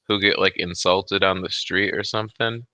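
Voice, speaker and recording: A man's voice: low voice, steady tone, moderate pace, pushed and bright, no echo. A man co-hosting in casual chat, with laid-back phrasing and plenty of swearing. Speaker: co-host.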